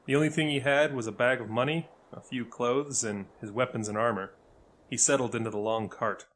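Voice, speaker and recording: A man reading scripted fantasy narration as a storyteller, with dramatic, deliberate delivery. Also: medium pitch, steady tone, fairly bright tone, slight background noise.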